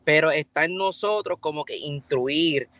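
A Puerto Rican man talking frankly in loose street slang as an interview guest. His voice sits a bit high for a man, and the recording is close and dry with no room sound. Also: unhurried, a bit bright, no room sound. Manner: frank, informal street talk. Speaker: breakdancer guest. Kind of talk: casual interview.

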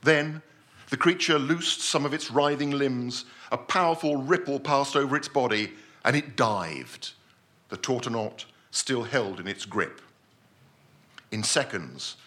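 A man plays a pompous man of science recounting a tragedy at sea. His voice is bright and forceful, swinging widely in pitch, with formal, measured, theatrical phrasing. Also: animated. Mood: grave. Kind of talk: dramatic live short-story reading.

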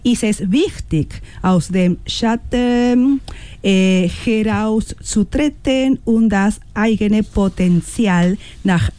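A woman's voice: mid-range voice, slow pace, warm tone. A woman hosting a radio programme, presenting partly from a script and speaking in an encouraging manner. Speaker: radio host and workshop leader.

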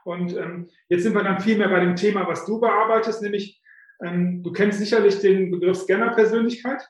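High-voiced man in an echoey room. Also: steady tone, normal speed. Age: 50s. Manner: chatty, self-deprecating, frequent filler sounds. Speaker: guest, author coach. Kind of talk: casual interview storytelling.

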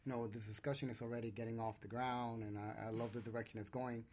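A man's voice: medium pitch, flat delivery, fast, a little mellow, a little hiss or hum. A man presenting casually as a co-host on a radio talk show.

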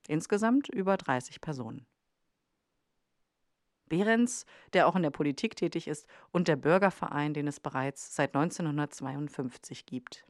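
A woman's voice: sits low, lively intonation, normal speed, dry recording, clean studio sound. A woman narrating a documentary podcast from a script.

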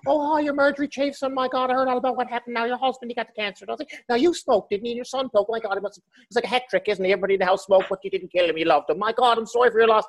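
A man voicing his gossiping older mother, talking in a rapid-fire, prying rush. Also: very high-pitched, steady tone, voice pushed up, poor audio. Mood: nosy, sympathetic.

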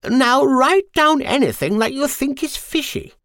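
A vain storybook witch shrieks and squeals her orders, a man putting her on. The voice sits very high and leaps about wildly. The sound is dry and close. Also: expressive, a little slow, a bit bright, no room sound, heavily acted. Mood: bossy. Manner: shrill, bossy, dramatic squealing. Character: vain shrieking female witch, fussy and haughty. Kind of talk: storybook character voice.

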